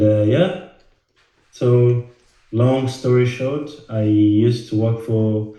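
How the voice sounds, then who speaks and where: A man from Rwanda, a financial educator, talking casually to the camera, halting with many fillers and repeated words. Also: medium pitch, dark tone. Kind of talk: casual direct-to-camera talk. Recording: very echoey room.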